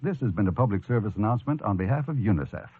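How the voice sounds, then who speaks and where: A man's voice: lowish voice, animated, racing, slightly rough, faint music behind. A man reading an earnest, scripted public service announcement. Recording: phone-like narrow radio sound, dry.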